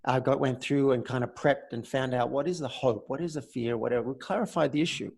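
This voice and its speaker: Measured male podcast host and coach. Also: medium pitch, creaky, darkish tone, breathy edge. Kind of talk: conversational coaching and show introduction.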